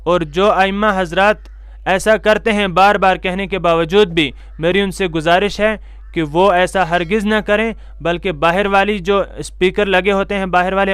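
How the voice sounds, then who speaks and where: A male cleric delivers religious guidance in a high-pitched, clear voice. His manner is formal and instructive, stressing points by repeating them. The sound is close and dry, with very little room in it. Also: a bit bright, no echo. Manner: formal, instructive, emphatic repetition. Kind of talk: religious lecture to camera.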